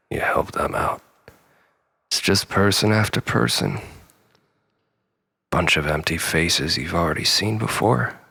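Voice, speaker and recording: Male, low pitch, gravelly fry, brooding, solemn, theatrical comic monologue. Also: bright, forceful tone.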